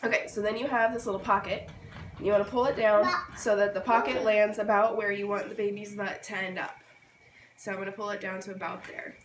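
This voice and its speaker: Hoarse woman, roomy distant recording. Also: medium pitch, level tone, brisk. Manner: informal step-by-step explaining. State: hoarse from being sick. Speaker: babywearing tutorial host. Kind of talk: casual hands-on tutorial. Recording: roomy, distant mic, noisy background.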